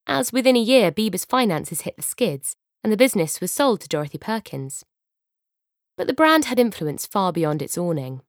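A young British woman narrating an audiobook from a script, expressive and given to wry asides, close on a studio microphone. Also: lowish voice, no echo.

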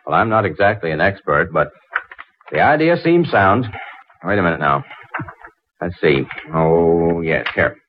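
Deep-voiced earnest inventor, muffled vintage radio. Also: male voice, animated, a little slow, long pauses, a little hiss or hum. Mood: absorbed, flustered. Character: earnest, absorbed, slightly awkward male inventor. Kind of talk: 1940s radio drama acting. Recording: muffled old 1940s radio recording.

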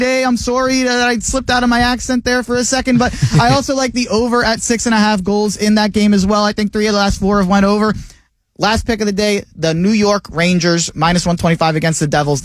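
A man's voice: very high voice, dry recording, voice pushed up. A man voicing a cocky, apologetic Canadian hockey bettor in a put-on Canadian accent, tagging lines with "eh". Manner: boastful, drops "eh" and "hoser" tags. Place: radio studio.

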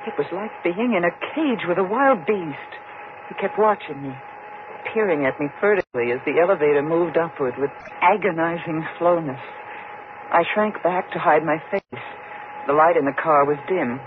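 A woman's voice: lowish voice, animated, moderate pace, phone-quality sound, background noise, close to an everyday voice. An actress playing a frightened single woman in a 1950s radio suspense drama, tense and dramatic in her delivery. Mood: haunted, dread. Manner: tense, dramatic, faltering when flustered.

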